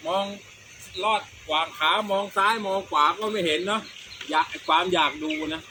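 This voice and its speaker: A man's voice: high voice, very animated, normal speed, sharp tone, a little hiss or hum. A middle-aged man, telling comic stories casually as a show host, chatty, repeating words and using crude slang. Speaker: host of a comedy storytelling show.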